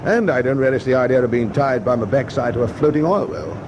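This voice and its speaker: A man playing a wry, seasoned British destroyer captain in a wartime radio drama, with dry, brisk naval understatement. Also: medium pitch, natural voice. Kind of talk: WWII radio drama acting. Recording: steady ship ambience noise behind.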